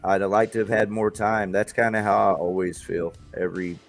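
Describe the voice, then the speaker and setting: A man's voice: on the low side, little pitch movement, fairly quick. A middle-aged man, hosting a local music show in a rambling, enthusiastic way. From Texas. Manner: rambling, enthusiastic, with fillers and restarts. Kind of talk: casual livestream chat.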